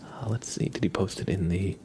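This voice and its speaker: A man hosting an ASMR livestream, talking softly in a gentle, unhurried, chatty way to his viewers. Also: sits low, a little hiss or hum. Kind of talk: ASMR, soft whispered live chat and reading.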